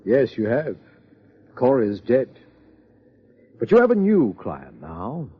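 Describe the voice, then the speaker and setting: Aloof, husky young widower. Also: male voice, medium pitch, huge pitch swings, slow pace, full character voice. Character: cool, bitter young widower, an aspiring actor. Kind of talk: 1950s radio drama acting. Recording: dull old radio recording.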